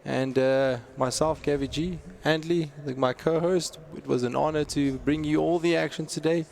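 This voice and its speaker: A man commentating on an esports football match as co-host, with lively play-by-play calls. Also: a little high, moderate pace, creaky. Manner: lively, rising excitement at chances.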